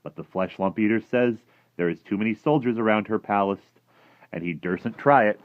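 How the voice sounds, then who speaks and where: A man voicing a huge, gentle, gloomy giant who talks in muddled grammar and invented words for a children's storybook. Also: fairly low pitch, normal speed, no room sound, highs cut off, voice pushed up, lightly acted. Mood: earnest, gossipy. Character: huge, gentle, gloomy friendly giant with garbled rustic speech. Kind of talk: animated children's storybook character reading.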